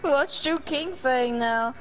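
A woman's voice: high voice, takes it slowly, faint music behind. A giggly woman chatting casually and teasing her friend during a game stream. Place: voice chat over a game stream.

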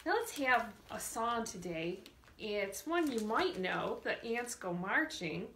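A woman leading a storytime for young children, reading a picture book expressively and deliberately, drawing out words and asking the children questions. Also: mid-range voice, big pitch swings, fairly bright tone, a little hiss or hum. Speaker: children's librarian hosting storytime. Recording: echoey room sound.

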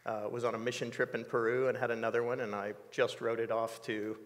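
Older guy in his 60s telling his story slow and thoughtful, lotsa ums, echoey studio. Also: mid-range voice, little pitch movement. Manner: measured, reflective storytelling with many fillers. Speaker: interview guest. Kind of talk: personal testimony in an interview. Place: dark studio set.

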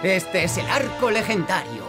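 An actor playing a friendly, practical young builder who explains things upbeat, in a children's cartoon dubbed in Castilian Spanish. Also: male voice, high voice, very animated, moderate pace. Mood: explaining. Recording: music behind the voice.

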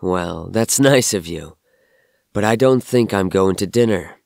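A man's voice: low-pitched, creaky, darkish tone, no echo, clean studio sound. An actor playing a downcast, bewildered young man held captive in an audio drama, speaking hesitantly. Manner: hesitant, halting.

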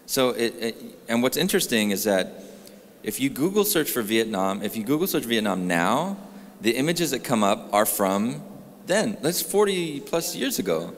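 A man's voice: medium pitch, very animated, moderate pace. A man in his thirties from California, earnestly explaining his ideas at a panel talk, holding a mic and restarting sentences. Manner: earnest, gestures while talking, many restarts. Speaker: artist panelist. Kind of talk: panel discussion. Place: gallery room with a live audience. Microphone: handheld mic. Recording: reverberant gallery hall.

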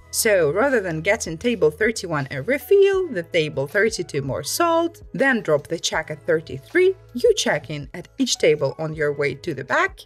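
A woman's voice: mid-range voice, husky. A woman reading online stories aloud as a host, in scripted storytime narration.

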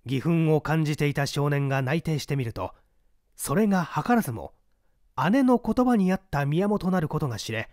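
A male reader narrates a classic mystery story in a measured way with dramatic asides. His voice is somewhat high and very lively in pitch, and the recording is close and dry. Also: no echo.

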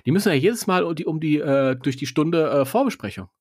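Playful, animated man chatting casually. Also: on the high side, a little fast, husky, no room sound, clean studio sound. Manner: playful, interjecting. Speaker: podcast co-host. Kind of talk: casual joking chat.

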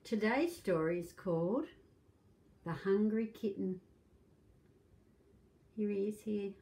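A middle-aged woman hosts a children's storytime. She speaks slowly at a medium pitch, in simple phrases with gentle questions aimed at young listeners. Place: small domestic room indoors.

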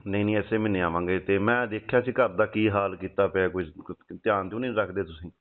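A man's voice: on the low side, steady tone, fast talker. A man chatting casually and intimately on the phone. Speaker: soldier on leave, phone caller. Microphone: phone call. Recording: muffled lo-fi phone call recording.

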